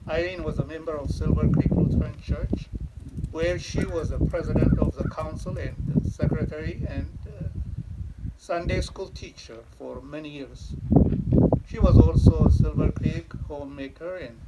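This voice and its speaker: Guy reading an obituary real slow, kinda high voice, loud noise behind. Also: steady tone, distant mic. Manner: slow, halting reading with pauses and fillers. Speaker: family member reading an obituary. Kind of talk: reading aloud.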